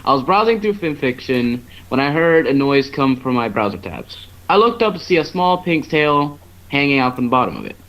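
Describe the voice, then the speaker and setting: A man's voice: medium pitch, very animated, moderate pace, hardly any pauses, fairly bright tone. A man narrating fan fiction casually as an amateur storyteller, now and then stumbling over the script. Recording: noisy amateur online stream.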